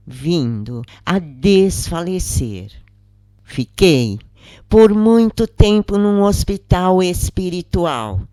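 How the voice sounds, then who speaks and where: A narrator delivers a scripted first-person account at a slow, measured pace. The reading is solemn, with deliberate dramatic pauses. The sound is close and dry, free of room echo. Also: mid-range voice, warm tone.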